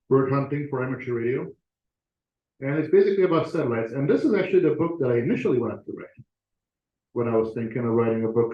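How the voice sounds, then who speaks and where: A man giving an informal, conversational talk as a guest speaker to an audience, with a self-deprecating touch. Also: medium pitch. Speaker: author and guest speaker presenting to a club. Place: meeting room, talk to a live audience. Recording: echoey room, slightly muffled audio.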